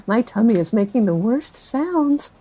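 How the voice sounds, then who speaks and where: A woman's voice: on the high side, lively intonation, a little slow, warm tone, husky, exaggerated voice. An actress playing a chatty, nervous woman in her forties in an audio drama, rambling through family memories with sighs and self-corrections. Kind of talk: interviewee in a found-footage audio drama.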